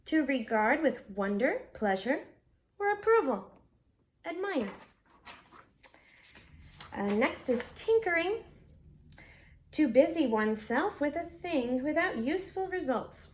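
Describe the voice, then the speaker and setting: A woman teacher giving a read-aloud lesson for children, expressive and deliberately stressing key words. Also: high-pitched, slight background noise.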